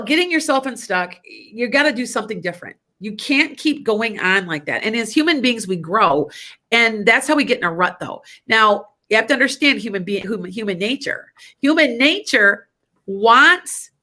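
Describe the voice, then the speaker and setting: A woman's voice: mid-range voice, sharp tone. Stuffy-nosed woman hosting a chatty show. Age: older. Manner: chatty, rambling, laughs often. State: stuffy nose. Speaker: psychic radio show host. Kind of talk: casual spiritual talk.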